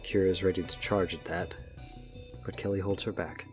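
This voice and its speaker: A man's voice: sits low, steady tone, moderate pace, faint music behind. A man narrating a scripted audio drama, telling the action as a storyteller.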